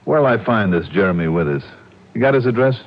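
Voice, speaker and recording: An American actor playing a wry, slangy, hard-boiled insurance investigator in an old-time radio detective drama. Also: male voice, lowish voice, lively intonation, quick pace. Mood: urgent. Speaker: lead actor and narrator. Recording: muffled vintage radio recording with music.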